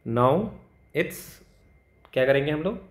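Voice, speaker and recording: A man's voice: mid-range voice, slow, reverberant. A man tutoring math, solving exam problems aloud, thinking through steps and correcting himself.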